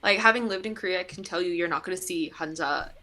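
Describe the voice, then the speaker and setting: A woman's voice: lowish voice, fast talker, pushed and bright. A young woman chatting casually as a podcast guest, talkative, with frequent laughs and "like" fillers. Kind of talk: casual chat.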